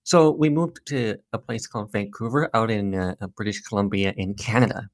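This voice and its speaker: A man from Hong Kong in his thirties speaks as an interview guest, earnest and reflective with the odd restart. His voice is low and gravelly. The recording is dry and close. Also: fairly quick, dry recording. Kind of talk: personal storytelling interview.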